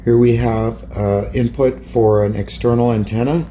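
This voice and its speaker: A man's voice: mid-range voice, fairly even intonation, normal speed, dark tone, a little hiss or hum. A man narrating a hands-on product demonstration as a hobbyist presenter.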